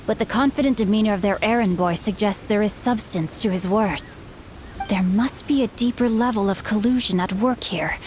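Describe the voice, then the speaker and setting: A woman's voice: fairly high pitch, a little hiss or hum, natural voice, low-quality recording. A woman voicing a bookish, chivalrous teenage boy swordsman in a video game, speaking in a formal, eloquent, earnest way. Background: game music.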